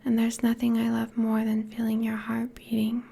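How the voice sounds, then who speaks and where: Woman playing a breathy, whispering vampire girlfriend. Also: on the high side, monotone, full character voice. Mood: loving, intimate. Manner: slow, soft, coaxing. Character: possessive, teasing, tender vampire girlfriend. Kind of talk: intimate whispered ASMR roleplay.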